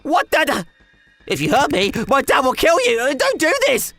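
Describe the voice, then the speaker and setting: A man voicing a spoiled, vicious young criminal in a manga dub, with a shrill, strained delivery. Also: big pitch swings, normal speed, pushed and bright, soft background music, exaggerated voice. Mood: panicked, pleading.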